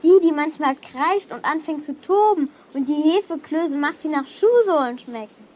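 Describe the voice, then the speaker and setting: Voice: sits high, expressive, normal speed, a little mellow, lo-fi sound. A performer playing a feisty, nosy schoolgirl in a children's radio play, pert and pushy. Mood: scornful.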